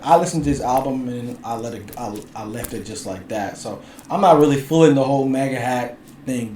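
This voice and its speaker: A man from Bridgeport, Connecticut, talking as a music producer guest in a laid-back, rambling interview, full of filler phrases. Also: medium pitch. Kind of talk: casual interview chat. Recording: roomy echo, faint ambient noise.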